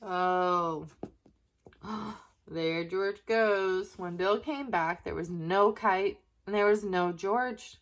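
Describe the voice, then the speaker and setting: Female children's story reader, medium pitch, slow delivery, expressive, chatting to young listeners. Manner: expressive, asking the listener questions. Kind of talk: read-aloud storybook narration with asides to young listeners.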